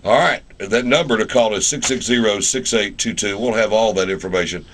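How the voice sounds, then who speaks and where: A man hosting a live radio interview in a folksy, halting way, often repeating words. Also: lowish voice, a bit bright, slight background noise. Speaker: radio show host.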